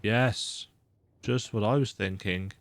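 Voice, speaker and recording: A man's voice: fairly low pitch, slow pace, a little hiss or hum. A man with a bit of a cold giving chatty, self-deprecating commentary while playing a horror game at home. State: slightly sick with a cold. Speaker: gaming video host. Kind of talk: casual let's-play commentary. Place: dark room at home with lights off.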